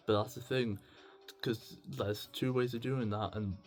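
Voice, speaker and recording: A British man talking in a casual, unscripted video diary, rambling and halting, with a self-deprecating manner. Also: on the low side, fairly even intonation, faint music behind. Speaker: video diarist. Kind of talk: casual unscripted confessional monologue.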